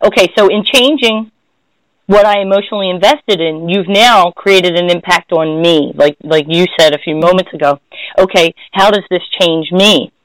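A woman's voice: lowish voice, moderate pace, dry recording, poor audio. Reflective woman talk host. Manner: thoughtful, searching, self-correcting. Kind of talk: reflective casual conversation.

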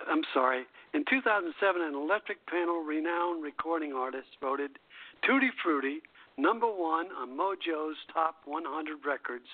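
Male radio host, slightly high register, halting scripted read with stumbles, phone-line sound. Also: no echo. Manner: halting reader who stumbles and self-corrects. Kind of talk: reading scripted music history aloud. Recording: tinny phone-line internet radio call.

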